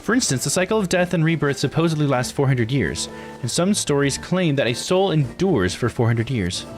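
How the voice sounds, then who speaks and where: A man's voice: mid-range voice, expressive, fairly quick, faint music behind, pitched above the performer's own voice, natural voice. A man voicing an earnest, knowledgeable expert who formally explains local lore in a visual novel game. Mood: earnest, cautionary. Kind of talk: visual novel dialogue read aloud.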